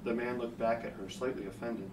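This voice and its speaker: A man's voice: medium pitch, fairly even intonation, brisk. A man reading scripted story narration as the narrator in a hotel room. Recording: echoey, noisy hotel room, distant.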